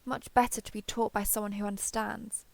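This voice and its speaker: A woman playing an ancient, proud female dragon in human form in an audio roleplay, speaking in formal, measured, archaic phrasing. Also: medium pitch, flat delivery, gravelly, no echo, lightly acted. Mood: thoughtful, gracious. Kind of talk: scripted audio roleplay acting.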